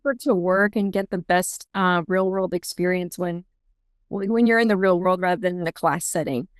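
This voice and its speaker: A young woman from Seattle talks through her career and her family in relaxed, rambling sentences full of ums and false starts. Her voice is smooth and clear. It sounds close and dry, with no room around it. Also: on the low side, moderate pace, no echo. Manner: chatty, many ums and restarts. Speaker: guest, intake director at a law firm. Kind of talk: casual interview answers.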